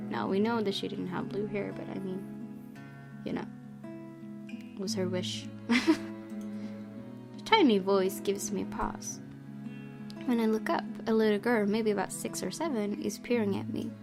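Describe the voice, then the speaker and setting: Expressive woman gamer narrating over music. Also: mid-range voice, creaky. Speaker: game streamer. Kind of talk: let's play, reading game text aloud with casual commentary. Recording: game music behind voice. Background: game music.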